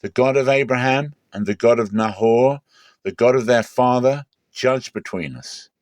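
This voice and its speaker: A man's voice: mid-range voice, normal speed, darkish tone, dry recording, voice pushed up, lightly acted. A man voicing a possessive, stern older patriarch in a dramatic scripture reading, speaking with emphatic, insistent declamation. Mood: solemn warning.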